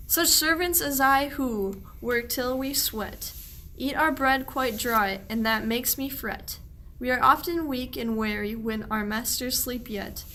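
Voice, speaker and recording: Woman as bright, slow-spoken shepherd lad. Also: a little high, slight background noise. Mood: aggrieved. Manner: earnest, declamatory verse delivery. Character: young male shepherd servant, fearful, put-upon and complaining. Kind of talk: amateur radio play verse acting.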